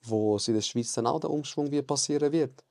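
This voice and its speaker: A man's voice: mid-range voice, a little fast, gravelly, a little mellow, no room sound. A man hosting a podcast interview, talking in an encouraging, rambling way. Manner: encouraging, rambling, frequent mhm and sag ich mal. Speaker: podcast host.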